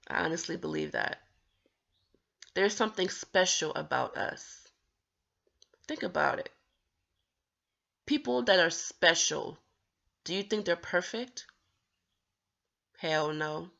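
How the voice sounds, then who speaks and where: A woman speaks slowly and earnestly to her viewers, her voice gravelly yet bright. She stumbles and restarts on a word now and then but keeps an encouraging tone. The recording sounds dull and lo-fi. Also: on the low side, expressive. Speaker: vlogger who stutters. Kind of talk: personal talk to camera.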